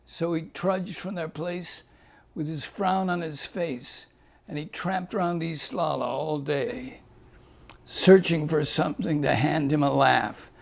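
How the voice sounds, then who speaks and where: A man's voice: on the high side, slow pace, gravelly. A man reading a rhyming fable aloud in a lilting, rhythmic delivery. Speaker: storyteller.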